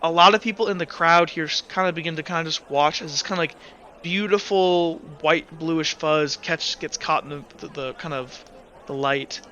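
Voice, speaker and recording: Bright-voiced man running a tabletop game. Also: on the high side, slight background noise. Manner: rambling, halting with many fillers. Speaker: game master. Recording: dull lo-fi mic.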